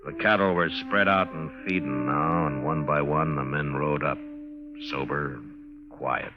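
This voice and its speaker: An actor playing a steady, weary Old West marshal in a terse, understated 1950s radio western style. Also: male voice, extremely low pitch, measured pace. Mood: somber narration. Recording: dull vintage radio recording, music behind.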